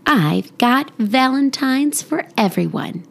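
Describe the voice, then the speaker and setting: A woman's voice: high voice, animated, takes it slowly, vocal fry, pitched above the performer's own voice, heavily acted. A woman voicing an earnest, literal-minded little schoolgirl in a storybook, with an emphatic, plain-spoken childlike manner. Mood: bright, eager.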